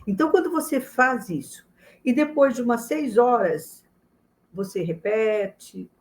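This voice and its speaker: A middle-aged woman with a fairly high voice, teaching a memory lesson. She explains step by step and speaks straight to the listener, often repeating her main point. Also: lively intonation, unhurried, darkish tone. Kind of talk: spoken lesson to camera.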